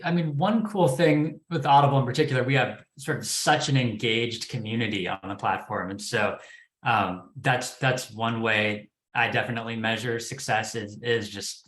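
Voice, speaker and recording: Male panelist, lowish voice, hesitant casual talk, echoey room sound. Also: fairly bright tone. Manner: tentative, hedging delivery. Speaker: scripted development executive, panelist. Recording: roomy, echoing sound.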